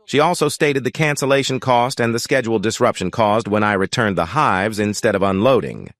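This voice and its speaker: A man giving scripted first-person story narration in an even, reflective way. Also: lowish voice, fairly quick, no echo.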